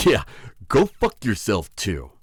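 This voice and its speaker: A deep-voiced witch hunter for hire, played by a man, blunt and scornful, with a loose swearing edge. He speaks slowly with a lively, swinging pitch. The voice is dry and close to the mic. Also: breathy edge, no room sound, exaggerated voice. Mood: mocking. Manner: blunt and sarcastic, with swearing and scoffing laughs. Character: gruff mocking male witch hunter, a poor hired mercenary. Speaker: ASMR roleplay performer. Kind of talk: ASMR roleplay acting.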